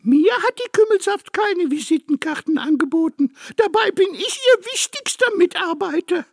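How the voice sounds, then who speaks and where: A woman playing a self-important, easily offended ghost assistant with a creaky voice in a children's radio play. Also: very high voice, animated, moderate pace, dry recording, clean studio sound, exaggerated voice. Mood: sulky, aggrieved.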